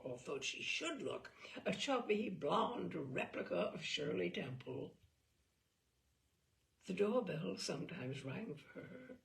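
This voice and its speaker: A woman reading a short story aloud in an expressive, measured, dramatic storytelling manner. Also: medium pitch, vocal fry. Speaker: reader of short fiction. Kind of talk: expressive literary narration read aloud.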